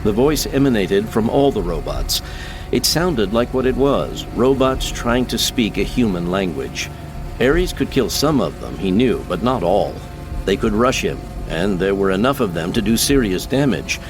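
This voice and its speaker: A man's voice: lowish voice, very animated, moderate pace, music in the background. A man giving scripted, dramatic narration of science fiction as an audiobook narrator.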